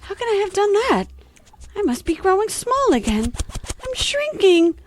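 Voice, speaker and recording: A woman's voice: very high voice, very animated, moderate pace, background noise. A woman playing a chattering little girl. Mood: chattery. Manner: chattery, earnest, easily flustered. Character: curious, polite little girl. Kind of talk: audio drama acting.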